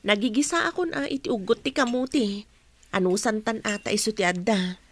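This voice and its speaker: A woman playing a poor, patient young wife and mother in a radio drama, gentle and deferential. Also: medium pitch, a little fast, natural voice. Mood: homely, uneasy. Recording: music under the voice.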